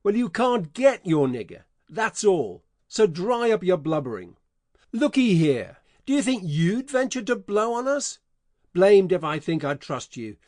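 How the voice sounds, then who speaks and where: A man playing a scheming adult con man, speaking in a gruff, folksy, bullying way in an audiobook dramatization. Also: high-pitched, big pitch swings, a little slow, a little mellow, no room sound, studio quality. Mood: threatening.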